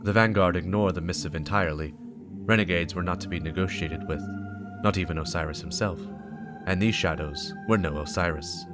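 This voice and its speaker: A man's voice: low voice, brisk. A man giving a dramatic scripted narration of a story. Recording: music behind the voice. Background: game soundtrack music.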